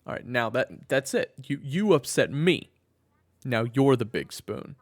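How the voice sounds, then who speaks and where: A man's voice: mid-range voice, big pitch swings, measured pace, no room sound, clean studio sound. A man playing a weary, protective family man with a criminal past in an audio drama, both narrating and speaking in a hesitant way. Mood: playful. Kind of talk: audio roleplay acting with first-person narration.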